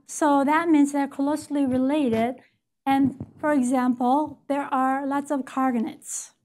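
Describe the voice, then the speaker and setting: High-voiced woman giving an academic lecture. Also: a little mellow. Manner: explanatory, frequent "right?" checks and self-corrections. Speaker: education professor. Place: lecture stage at a podium.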